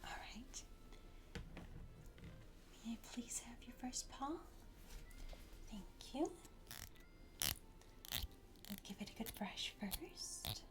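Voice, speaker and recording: A woman whispers slowly in an ASMR roleplay as a gentle pet stylist. She soothes and encourages her client in a hushed, unhurried way, close on the microphone. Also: a little high.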